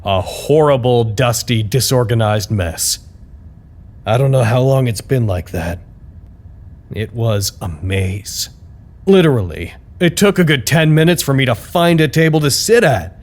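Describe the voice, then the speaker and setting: A male actor playing an amiable, nervously upbeat staffer who narrates his report in a wry, expressive, conversational way for an audio drama. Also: medium pitch, a little slow. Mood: exasperated. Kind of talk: scripted audio drama monologue, personal report.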